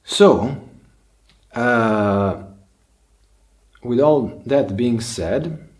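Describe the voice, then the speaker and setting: A man whose first language is Bosnian, talking slowly and thoughtfully to his channel's viewers, with many pauses and restarts. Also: mid-range voice, animated. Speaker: channel host. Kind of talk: reflective solo monologue.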